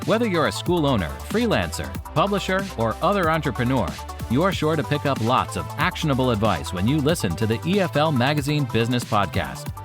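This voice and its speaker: A man's voice: mid-range voice, big pitch swings, brisk. A man delivering an upbeat, scripted show introduction as the announcer. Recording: announcer voice over music.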